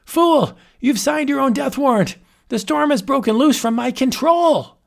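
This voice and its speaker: A man's voice: on the high side, expressive, slow pace, gravelly, heavily acted. A man voicing a grandiose, unhinged old male warlock who rants theatrically and rises to shrieks. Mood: terrified fury. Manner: ranting, theatrical, rising to shrieks.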